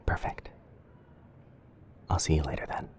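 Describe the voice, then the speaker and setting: A man playing a gentle young wizard boyfriend in an ASMR roleplay, speaking softly and often near a whisper with a tender, hesitant manner. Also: measured pace, lightly acted. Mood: soft, reassuring. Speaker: roleplay audio performer.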